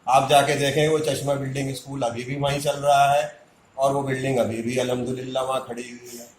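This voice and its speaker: A man's voice: medium pitch, level tone, a little fast, echoey room, slight background noise, poor audio. A man makes an indignant public statement as a community activist, arguing hard and firing off rhetorical questions.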